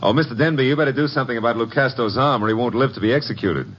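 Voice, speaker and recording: A man's voice: medium pitch, very fast, fairly bright tone, lightly acted. An actor playing a breezy, flirtatious detective's legman, delivering slangy, sardonic lines with swagger in a radio mystery. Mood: cocky, flirtatious. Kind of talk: 1950s radio detective acting. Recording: muffled, noisy vintage radio recording.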